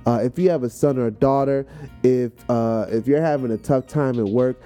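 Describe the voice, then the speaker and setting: A man's voice: mid-range voice, normal speed, mellow, soft background music. An American man hosting a podcast, giving an informal, emphatic motivational talk about what words mean, repeating phrases to drive them home. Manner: conversational, informal. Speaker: podcast host. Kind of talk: motivational talk explaining a poem.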